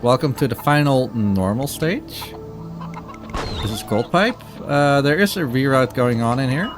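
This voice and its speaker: A man's voice: medium pitch, animated, unhurried. A man giving casual, rambling live commentary as he speedruns a game, with a self-deprecating manner. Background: game music.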